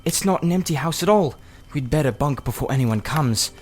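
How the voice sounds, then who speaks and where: A man's voice: fairly high pitch, lively intonation, fairly quick, a little mellow, pitched above the performer's own voice, close to an everyday voice. A man voicing a blunt, impatient and anxious schoolboy in a children's storybook. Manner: blunt, urgent. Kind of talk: children's storybook character reading.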